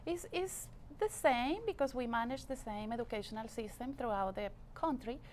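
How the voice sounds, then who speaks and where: A fairly high-pitched woman with a Colombian Spanish accent answers an interviewer's questions. She explains things patiently and fills her pauses with "uh" and "um". There is a faint hiss behind her voice. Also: a little mellow. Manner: patient, explanatory, with frequent fillers. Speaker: guest. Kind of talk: casual interview talk.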